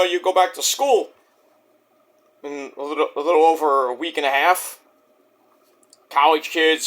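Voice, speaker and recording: A man's voice: fairly high pitch, a bit bright. A middle-aged man with a Brooklyn New York accent, rambling boastfully through a casual wrestling review monologue. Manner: boastful, rambling, restarts and fillers.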